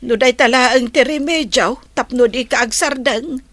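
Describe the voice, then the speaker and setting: A woman's voice: high voice, a little slow, a bit bright, no room sound. A woman playing a patient, struggling middle-aged mother in an audio drama. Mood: pleading, resigned.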